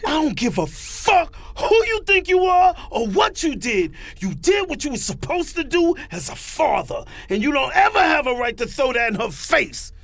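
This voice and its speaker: An actor playing a drunk, defiant, protective brother in an audio drama, slangy, profane and taunting. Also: male voice, very high-pitched, very animated, normal speed, gravelly, few pauses, a bit bright, dry recording, heavily acted. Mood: furious. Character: hot-headed, drunk, defiant adult son and protective brother.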